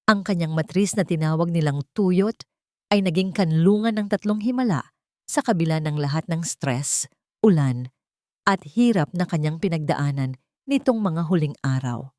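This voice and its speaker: A woman's voice: fairly low pitch, animated, moderate pace, a little mellow, dry recording, clean studio sound. A woman with a Filipino accent narrating a scripted drama story in a solemn, emotive way.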